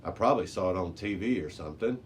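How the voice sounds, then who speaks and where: A man's voice: sits low, lively intonation, quick pace. A man voicing a clever, level-headed young girl in a children's storybook, explaining things. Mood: casual. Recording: noisy amateur recording with background hiss.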